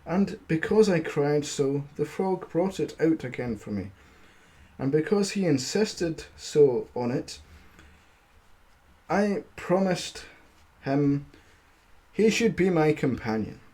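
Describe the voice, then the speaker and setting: A man's voice: fairly high pitch, animated, slow pace, dark tone. Man reading as a fretful young princess.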